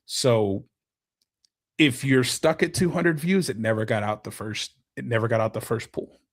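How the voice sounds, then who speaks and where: Man, podcast guest, excitable, quick explainer, casual chat, middle pitch. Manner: excitable, fast bursts of explanation. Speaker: guest content creator.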